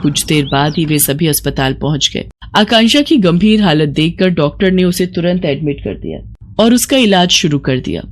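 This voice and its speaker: A woman's voice: low-pitched, very animated, moderate pace. A woman narrating a scripted moral story as a storyteller.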